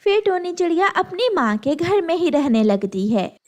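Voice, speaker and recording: A woman's voice: very high-pitched, sing-song, moderate pace, a little mellow. A woman narrating a children's moral story in a scripted storytelling style.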